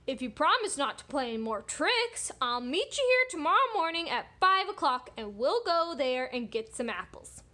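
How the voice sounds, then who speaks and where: A woman's voice: high voice, sing-song, moderate pace, hardly any pauses, bright, forceful tone, exaggerated voice. A woman voicing a sly, scheming storybook wolf who coaxes and calls out invitations. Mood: coaxing. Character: sly, scheming big bad wolf out to trick.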